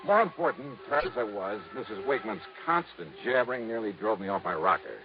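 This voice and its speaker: An actor playing a wry, punchy hardboiled insurance investigator, in 1950s radio drama style. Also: male voice, lowish voice, animated, fairly quick. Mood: narrating crisply. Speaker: lead and narrator. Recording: muffled, noisy old radio recording.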